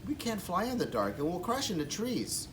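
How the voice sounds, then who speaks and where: A man voicing an earnest, excitable young female bat from a children's storybook. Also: sits high, moderate pace, background noise, pitched above the performer's own voice. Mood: worried. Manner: exclaiming, excitable.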